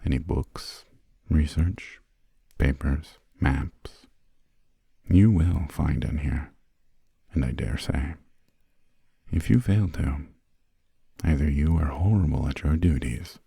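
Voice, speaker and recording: An aristocratic vampire master of a castle, performed by a man, speaks extremely low in a husky, breathy murmur. His delivery is slow and genteel, with an imperious formality suited to intimate ASMR roleplay. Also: mellow, dry recording, studio quality, full character voice. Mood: dry, haughty. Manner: formal, haughty, old-fashioned genteel phrasing. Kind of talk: whispered ASMR roleplay, slow scripted monologue.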